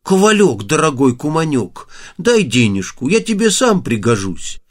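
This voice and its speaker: A male narrator plays a little male storybook beetle. He begs in a fairly high, lilting voice with folksy, coaxing phrasing. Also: big pitch swings, normal speed. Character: little male beetle begging in a folk tale.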